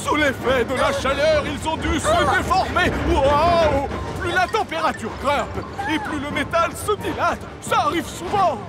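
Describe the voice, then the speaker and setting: A man voicing an excitable, earnest firefighting engine in a children's cartoon dub. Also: very high voice, unhurried, hardly any pauses, loud music behind the voice, over a noisy crowd. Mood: alarmed, earnest. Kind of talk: animated children's series dub.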